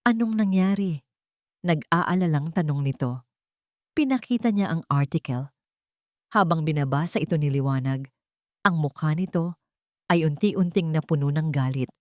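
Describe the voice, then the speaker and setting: A woman tells a dramatic romance story in scripted narration. Her voice sits a little low for a woman, and the sound is dry and close, with little room around it. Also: darkish tone, no room sound.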